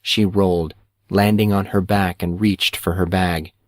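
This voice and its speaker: Deep, slow-reading male narrator. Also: no room sound, clean studio sound. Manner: measured, even storytelling. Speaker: audiobook narrator. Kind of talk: scripted narration of a novel.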